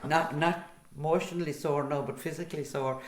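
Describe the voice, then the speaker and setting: An older woman with an Irish accent from Cork chats in an interview. Her voice is notably low, her manner jokey and agreeable, and the room sounds roomy. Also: steady tone, a little fast, slight background noise. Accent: Irish, County Cork. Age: older, a grandmother. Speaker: amateur radio drama cast member. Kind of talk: casual interview chat.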